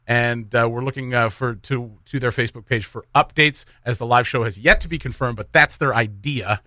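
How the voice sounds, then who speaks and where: A man's voice: mid-range voice, normal speed, pushed and bright, dry recording, clean studio sound. A man hosting a morning radio show, chatting casually and jokingly, rambling loosely between community announcements.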